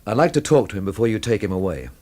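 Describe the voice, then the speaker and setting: A man's voice: on the low side, very animated, very fast, a little mellow. An actor playing a curt, sardonic, protective tough man in radio drama dialogue, terse and dry. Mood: impatient, sardonic.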